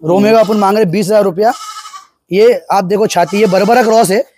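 A man's voice: high voice, steady tone, fairly quick, a little mellow, a little hiss or hum. A man eagerly showing off and pricing goats in an outdoor farm pen, recorded on a nearby phone. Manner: pointing out features, eager selling. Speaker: goat farm seller. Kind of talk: casual sales walkthrough.

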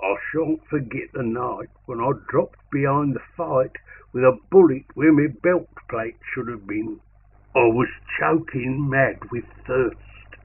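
Slow, gravelly man reciting verse. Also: medium pitch. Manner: theatrical, measured, with dropped-grammar colloquial delivery. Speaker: poetry reader. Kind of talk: dramatic poetry recitation in soldier's vernacular. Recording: narrow tinny sound, faint noise.

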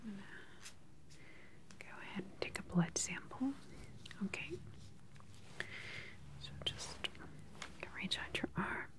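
A woman in her thirties speaks in a soft, breathy whisper close to the mic, gentle, clinical and unhurried, as a doctor in an ASMR exam roleplay. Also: medium pitch. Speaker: ASMR performer playing a doctor. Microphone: close ASMR microphone.

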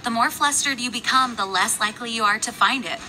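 A female knight in a video game. Her voice is on the high side, calm and playfully knowing as she offers reassuring advice. Clear game ambience sits behind the words. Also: fairly quick, a little hiss or hum. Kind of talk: video game character dialogue.

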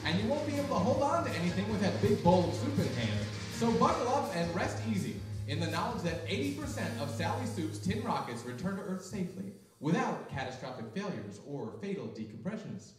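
A man's voice: sits high, sing-song, brisk, hardly any pauses. A young man delivering mock old-time radio announcements and ads in a theatrical, salesman-like way. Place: small library room, live performance. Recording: very echoey room, distant mic, music.

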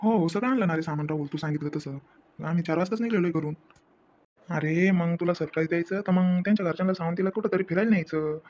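Quick, grumbling village man as acted. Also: medium pitch, darkish tone, lo-fi sound, a little hiss or hum, close to an everyday voice. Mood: agreeable. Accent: rural Maharashtrian Marathi. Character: grumbling village family man, blunt and easily irritated. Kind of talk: acted family comedy dialogue.

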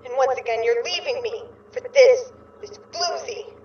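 A woman's voice: fairly high pitch, moderate pace, lightly acted. A woman playing an obsessive, jealous, unhinged ghost lover in an audio drama, wailing and melodramatic. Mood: furious. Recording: very echoey, distant, dull sound.

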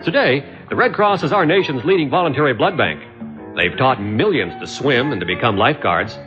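A man's voice: medium pitch, lively intonation, brisk. A man with an American accent reading scripted public service announcements for radio. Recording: dull old radio aircheck, music underneath. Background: music.

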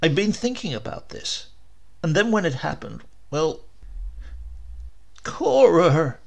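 A man's voice: on the high side, big pitch swings, slow pace, gravelly, voice pushed up, heavily acted. Man acting an eager old dreamer husband. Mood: excited, resolute. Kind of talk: audiobook character voice.

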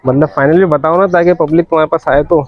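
A man's voice: on the high side, fairly even intonation, fast, dull audio, faint music behind. A man vlogging at an outdoor cattle pen, chatting and bargaining over prices with a seller. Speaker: vlogger touring a cattle market. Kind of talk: casual walk-around haggling chat.

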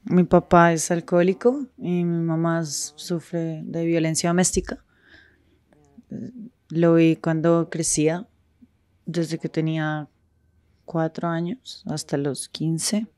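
A woman speaking frankly in a street interview, with halting pauses and restarts. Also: deep voice, level tone, darkish tone, dry recording.

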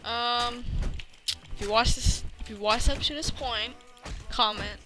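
A thirteen-year-old boy chats casually as he commentates on his gameplay. His voice is youthful and informal, with music clearly playing behind it. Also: very high-pitched, measured pace. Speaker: gaming video host. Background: loud music.

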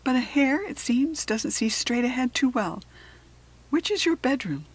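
A polite alien hare from a children's storybook, acted out by a woman reader. The voice is slightly husky and carefully articulated. It talks in a chatty, formal, self-assured way, as if a little superior. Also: high voice, big pitch swings, a little slow, voice pushed up, exaggerated voice. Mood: friendly. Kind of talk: children's storybook character reading.

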